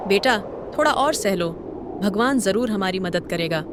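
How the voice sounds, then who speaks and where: A woman voicing a gentle, long-suffering poor village mother in an animated story. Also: medium pitch, moderate pace, close to an everyday voice. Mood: soothing. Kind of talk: animated moral story dialogue. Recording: clear background noise.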